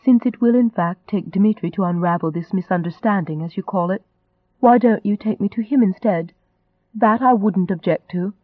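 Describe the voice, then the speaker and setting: A man acting a fiery, high-pitched indignant young woman. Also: normal speed, no room sound, narrow, tinny audio. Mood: calculating. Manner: indignant, biting, emphatic. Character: fiery, indignant young Englishwoman, proud and sharp-tongued.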